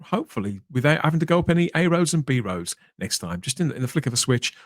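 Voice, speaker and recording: A man's voice: fairly high pitch, sing-song, fast talker, no room sound, studio quality. A man hosting a podcast in a casual, chatty way with wry, jokey asides. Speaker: podcast host.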